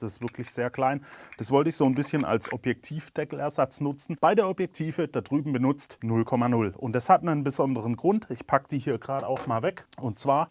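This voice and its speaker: A man's voice: mid-range voice, darkish tone, slight background noise. A man with a cold, candidly talking through his camera gear in a casual review. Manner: candid, chatty, plain-spoken. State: has a cold.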